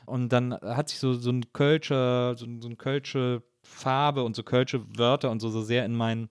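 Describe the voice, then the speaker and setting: A man's voice: medium pitch, little pitch movement, normal speed, smooth, dry recording, clean studio sound. A man from the Cologne area chatting informally as an interviewer, often repeating words as he feels his way through a sentence. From Cologne area, Germany. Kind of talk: casual conversational interview.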